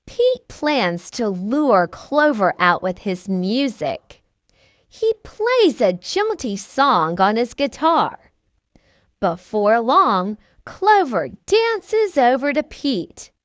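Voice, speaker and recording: Very slow, high-voiced woman reading a storybook. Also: no echo. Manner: expressive, deliberate storytelling. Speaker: children's story reader. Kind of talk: scripted read-aloud storybook narration.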